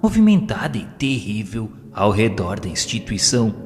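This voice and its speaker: A man with a Brazilian accent reads a scripted audio novel as its narrator, in a solemn, formal and measured literary manner. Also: lowish voice, sing-song. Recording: long hall reverb, music underneath. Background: background music.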